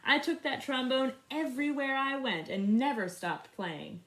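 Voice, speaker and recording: A woman reading a picture book aloud in an expressive, animated way for young listeners. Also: high voice, echoey room. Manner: animated, pauses to ask young listeners questions. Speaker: storybook reader. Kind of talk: expressive children's read-aloud.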